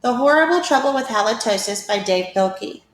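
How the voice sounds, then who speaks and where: Woman, teacher type, for children's read-aloud. Higher-pitched, expressive, deliberate storyteller; echoey room sound.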